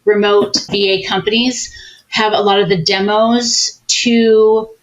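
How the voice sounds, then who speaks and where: Woman guest, mid-pitched voice, friendly coach-like explaining, casual podcast talk. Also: level tone, slow, rough recording. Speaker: consultant guest. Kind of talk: casual interview chat.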